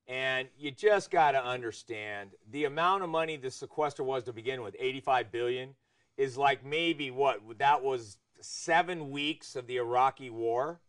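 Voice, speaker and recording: A man's voice: mid-range voice, moderate pace, fairly bright tone, clean tone. A middle-aged American man hosting a political talk show, giving his opinions in conversation.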